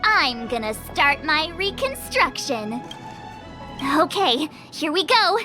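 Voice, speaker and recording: A theatrical young girl detective from a video game. Her voice is high and very lively, cocky and playful in manner. Music plays clearly behind her. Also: takes it slowly, heavily acted. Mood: confident, eager. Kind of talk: animated video game voice acting.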